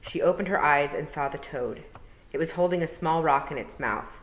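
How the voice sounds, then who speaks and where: A woman's voice: low voice, moderate pace. A woman, a teacher, reading a story aloud and narrating for storytime. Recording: roomy, reverberant indoor sound.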